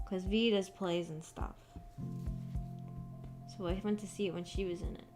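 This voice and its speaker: A woman hosts a casual livestream, talking to viewers at a middling pitch for a female voice. Her talk rambles along informally, like chat between friends. Music plays clearly behind her. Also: steady tone, moderate pace. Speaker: livestream host chatting with viewers. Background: background music.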